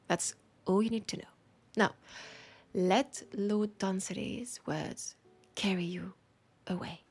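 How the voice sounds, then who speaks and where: A woman with a French accent playing a romantic, teasing bookseller in a soft, breathy, intimate roleplay audio. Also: medium pitch, fairly even intonation. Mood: warm, playful. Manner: slow, breathy, whispery, tender and playful. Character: romantic, teasing female bookseller fond of a vampire customer. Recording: music behind the voice. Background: music.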